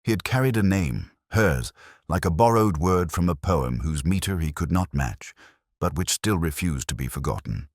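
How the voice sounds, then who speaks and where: Male actor as melancholy police inspector, bottomless bass, expressive rise and fall, deliberate pauses, literary audio drama narration, solemn. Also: no echo, clean studio sound. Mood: wistful. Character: melancholy, reflective male police detective inspector telling his story. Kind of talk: slow literary first-person scripted narration, audio drama.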